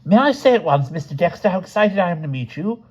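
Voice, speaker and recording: A man's voice: medium pitch, lively intonation, normal speed, warm tone, slightly rough, voice pushed up. A man voicing a fussy, eager young male official who gushes apologetically, partly in a put-on Austrian accent. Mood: apologetic. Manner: apologetic and gushing.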